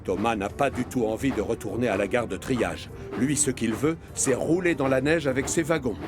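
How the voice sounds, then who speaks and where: A man narrating a children's story from a script in an expressive storytelling manner. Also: medium pitch, normal speed, over music.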